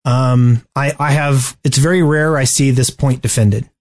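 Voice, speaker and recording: A man co-hosting a podcast, talking through game strategy casually and haltingly as he thinks aloud. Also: medium pitch, fairly even intonation. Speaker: podcast host.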